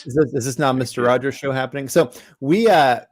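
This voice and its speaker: A man's voice: medium pitch, fairly quick. A man co-hosting an interview podcast, chatty and joking as he welcomes the guest and asks questions. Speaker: podcast co-host.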